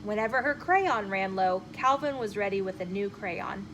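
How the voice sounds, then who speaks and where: A woman storyteller reading a children's chapter book aloud in an expressive, emphatic way. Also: medium pitch, moderate pace, muffled recording. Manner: animated, emphatic phrasing with acted dialogue. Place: inside a bookshop. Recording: noticeable room noise behind the voice.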